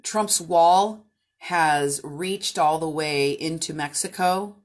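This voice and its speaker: Slow, low-pitched woman interpreting. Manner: halting, with frequent restarts. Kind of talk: live interpretation into English.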